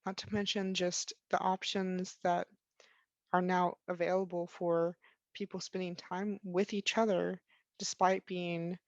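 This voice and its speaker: A woman in her twenties speaking in a measured, articulate way, as a student giving a reflective interview. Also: lowish voice, level tone, no room sound, highs cut off. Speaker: university student.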